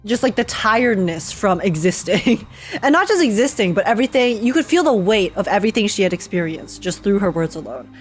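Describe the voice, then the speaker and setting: A young woman streamer giving casual, slangy live reaction commentary on a game, expressive and emotionally invested. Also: mid-range voice, brisk. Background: game music.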